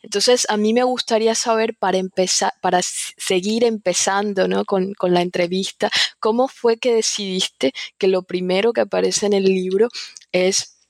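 A woman conducts an academic interview in a conversational way. Her voice has noticeable creak and little pitch movement, in a dry recording, and she rephrases as she builds each question. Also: steady tone, normal speed, dry recording.

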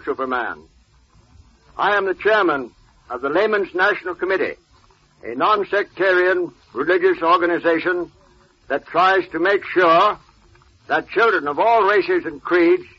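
A man's voice: a little high, breathy edge. An older man giving a formal, stiffly read speech as a guest on an old radio broadcast. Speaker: guest speaker, retired naval officer. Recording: dull 1940s radio recording.

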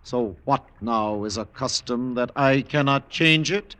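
An actor in a classic radio drama playing a vain, imperious Roman ruler who speaks in grandiose declamation. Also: male voice, mid-range voice, a little slow, bright, forceful tone, clean tone. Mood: boastful.

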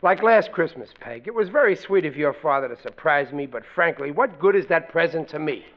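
A man's voice: fairly high pitch, lively intonation, a little fast. An actor playing a blundering, opinionated middle-aged working-class father in a 1940s radio sitcom, blustering and stumbling over his ungrammatical sentences. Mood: complaining. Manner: blustering, ungrammatical, stammering restarts. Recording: dull, lo-fi vintage radio recording.

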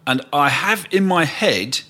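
Man in his 60s, bright crisp voice, halting, thoughtful storytelling. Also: on the high side, lively intonation. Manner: thoughtful, halting, searching for memories. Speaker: podcast guest. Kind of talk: casual reminiscing chat.

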